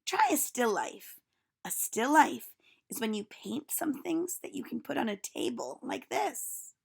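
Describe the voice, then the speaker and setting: Lady doing a snooty artist guy from a kids book, creaky, explains everything slowly. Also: fairly high pitch, animated, voice pushed down, heavily acted. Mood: instructive. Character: self-important, instructive male artist friend of a mouse. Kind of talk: storybook read-aloud.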